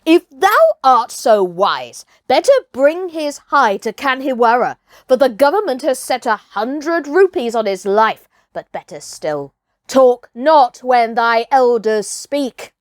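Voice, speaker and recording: A woman's voice: high-pitched, sing-song, slow pace, no echo, studio quality, pitched above the performer's own voice, heavily acted. A woman voicing a pompous, emphatic old village hunter in a storybook tale. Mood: indignant.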